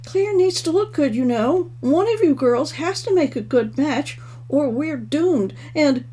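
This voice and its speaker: A woman's voice: high voice, animated, unhurried, warm tone, voice pushed up. A woman voicing a timid, flustered stepmother in a storybook reading, with a pleading, apologetic manner. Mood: anxious, insistent. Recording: clearly noisy home recording.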